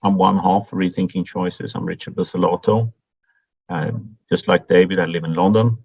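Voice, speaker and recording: A man who grew up in Sweden, introducing himself in a measured way on an online meeting. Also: low voice, fairly even intonation, vocal fry, a little mellow, husky. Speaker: meeting host. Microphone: video call. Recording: dull lo-fi video call audio.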